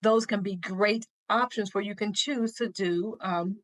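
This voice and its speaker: A middle-aged woman co-hosts a casual advice podcast. Her voice sits at an average pitch and is recorded dry, without room sound. She speaks to listeners directly and encouragingly, in a conversational way. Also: fairly even intonation, measured pace, dry recording. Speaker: co-host.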